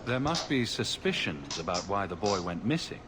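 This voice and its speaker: A man's voice: low-pitched, big pitch swings, normal speed, noisy background. An actor playing a confident, plain-spoken male adventurer hero in a video game. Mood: confident, probing. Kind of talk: scripted video game dialogue.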